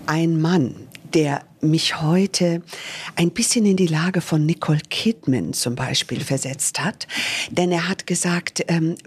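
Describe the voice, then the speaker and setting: A woman's voice: low voice, slow pace, darkish tone, breathy edge. A middle-aged woman hosting a podcast, chatting with effusive enthusiasm. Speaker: podcast host. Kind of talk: lively interview chat.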